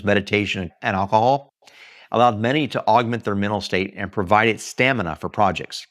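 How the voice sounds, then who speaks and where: American male author-narrator, low voice, dry close sound, emphatic memoir read with weighted pauses. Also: a bit bright, dry recording. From Texas, United States. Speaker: author reading own book. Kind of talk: scripted audiobook-style narration of a memoir.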